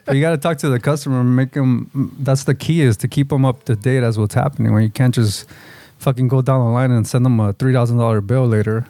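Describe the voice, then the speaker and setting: A man's voice: mid-range voice, fairly even intonation, fast, dark tone, no room sound. Casual man, very dry sound. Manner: casual, profane, mixes Spanish and English. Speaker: podcast co-host. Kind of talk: casual chat.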